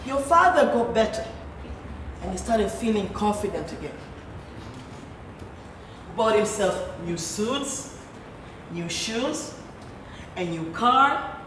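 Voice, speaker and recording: A woman playing an imperious, scheming middle-aged wife and mother in a stage play, theatrical and deliberate. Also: high voice, fairly bright tone, exaggerated voice. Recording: distant, echoey, noisy theatre recording.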